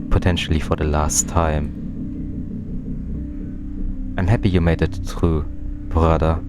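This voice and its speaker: Man reading as introspective nameless twin, extremely low voice, confessional, measured, visual novel narration, loud music. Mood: tender, melancholy. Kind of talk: scripted narration. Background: loud game music.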